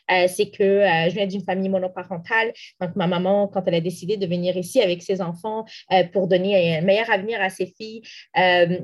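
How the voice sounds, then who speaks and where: A woman's voice: medium pitch, darkish tone. A young woman from Morocco, a native Arabic speaker, answering interview questions earnestly with frequent restarts and fillers. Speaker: interview guest, community activist.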